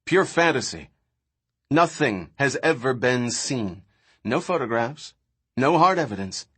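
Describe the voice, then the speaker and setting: A man's voice: medium pitch, animated, a little slow, fairly bright tone, slightly rough. A man voicing a blunt, contemptuous male scientist in an audiobook, declaiming with sardonic emphasis. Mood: dismissive.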